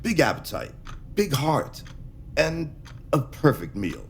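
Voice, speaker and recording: An actor playing an anxious, secretive alien dignitary, speaking hesitantly and earnestly in a science fiction audio drama. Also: male voice, fairly high pitch, huge pitch swings, slow, slight background noise, heavily acted. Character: anxious, secretive alien dignitary pleading for his endangered people.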